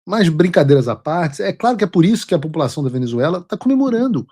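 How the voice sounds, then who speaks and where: A man's voice: a little high, sing-song, fast, dark tone, breathy edge. A Brazilian man hosting his own show, delivering blunt, mocking political commentary with casual swearing. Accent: Brazilian Portuguese. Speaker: show host.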